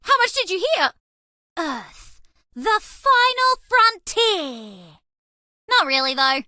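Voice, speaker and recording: A woman's voice: very high voice, very animated, slow pace, sharp tone, no room sound, studio quality, heavily acted. A woman voicing a bubbly, cheese-obsessed young alien rodent space traveler in a video game, with a perky, theatrical delivery. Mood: playful, dramatic. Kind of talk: animated game character voice lines.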